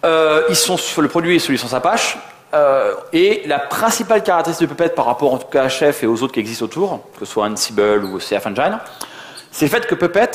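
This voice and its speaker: Male conference speaker, very quick, joking and colloquial, roomy sound. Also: a little high. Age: middle-aged. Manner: joking, self-deprecating, colloquial. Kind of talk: informal technical conference talk. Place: indoor conference venue. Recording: echoey conference room.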